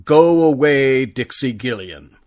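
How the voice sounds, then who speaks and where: A man's voice: medium pitch, animated, slow pace, darkish tone. A man announcing an old-time radio detective show as a scripted introduction.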